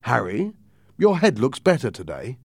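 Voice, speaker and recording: A man voicing a calm, principled doctor in a storybook reading. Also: mid-range voice, moderate pace, no echo, clean studio sound, voice pushed up. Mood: brisk.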